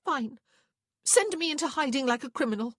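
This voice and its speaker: A woman's voice: a little high, lively intonation, moderate pace, slightly rough, no room sound, clean studio sound, pitched above the performer's own voice. A woman voicing a spirited, defiant upper-class young woman in an audiobook, with a pointed, dramatic manner. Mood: irritable.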